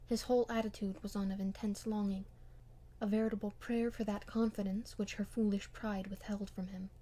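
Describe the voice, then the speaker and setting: A woman narrates a classic novel as an amateur audiobook reader. Her voice sits at a middle pitch, and the scripted prose is read with expression. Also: little pitch movement, fairly quick, mellow.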